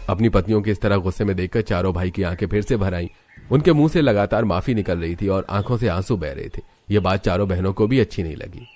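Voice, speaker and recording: A man's voice: low-pitched, fast talker, darkish tone, faint music behind. A man narrating a scripted cartoon family story as a storyteller.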